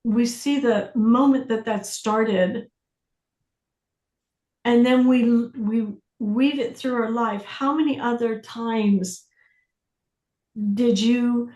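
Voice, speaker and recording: A woman facilitating a self-inquiry class, speaking deliberately with pauses, questions and phrases repeated for emphasis. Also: a little high, a little mellow. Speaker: workshop facilitator leading a group class. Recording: roomy echo on the voice.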